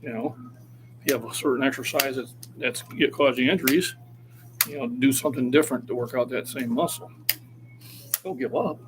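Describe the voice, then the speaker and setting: A man's voice: medium pitch, steady tone, a little fast, long pauses, noisy background. A man in his sixties, a fitness coach talking casually on a livestream and urging viewers to keep active. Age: 60s. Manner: encouraging, motivational.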